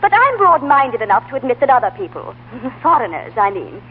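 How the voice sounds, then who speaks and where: High-voiced, prim missionary spinster. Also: female voice, sing-song, a little fast, breathy edge, exaggerated voice. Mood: prim, emphatic. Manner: prim, emphatic, condescending. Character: prim, opinionated, self-righteous missionary spinster. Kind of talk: old-time radio drama acting. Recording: muffled vintage radio broadcast.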